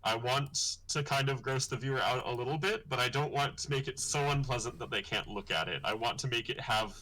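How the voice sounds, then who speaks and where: Bright-voiced man chatting on a stream. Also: brisk, low-quality recording. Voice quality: deep voice. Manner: thoughtful, rambling with fillers. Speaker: comic artist hosting his launch stream. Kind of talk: casual livestream chat.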